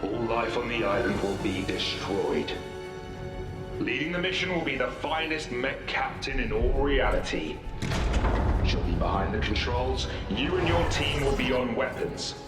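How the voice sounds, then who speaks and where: Echoey and distant under loud music, a man plays a formal military commander briefing a crew in a video game. His mid-pitched delivery is resolute and commanding. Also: lively intonation, unhurried, close to an everyday voice. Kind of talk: video game trailer dialogue.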